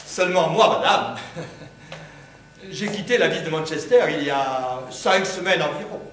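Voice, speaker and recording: An overly polite, hesitant English gentleman, played by a man on a live stage. His voice sits somewhat high, and he speaks with formal, halting courtesy. The recording is heavily echoey and far from the mic. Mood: courteous. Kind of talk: amateur stage acting. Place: theatre stage before an audience. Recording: very echoey hall, distant mic.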